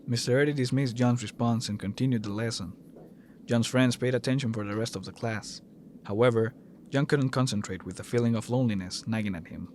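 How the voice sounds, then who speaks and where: A man's voice: medium pitch, a little mellow, slight background noise. A man reading scripted narration for an audio drama.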